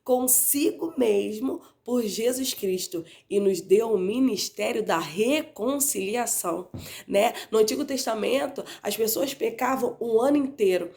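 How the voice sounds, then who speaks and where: A woman teaching a Bible class, stuffy and slightly hoarse from a cold, with a nasal tone, talking conversationally and often adding "né?" at the end of her sentences. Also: on the high side, measured pace, warm tone. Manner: conversational, frequently adds "né?" tag questions.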